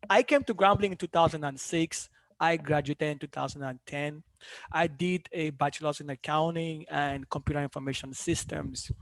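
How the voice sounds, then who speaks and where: A man from Cameroon introduces himself on an alumni panel, measured and pausing often between thoughts. His voice sits fairly high, and the sound is dry and close. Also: no echo. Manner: measured, pausing with many ums.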